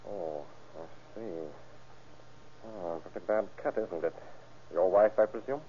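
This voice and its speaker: An actor playing a calm, matter-of-fact male doctor in an old-time radio crime drama, with a practical bedside manner. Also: deep voice, measured pace, a little hiss or hum. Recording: muffled, band-limited vintage radio recording.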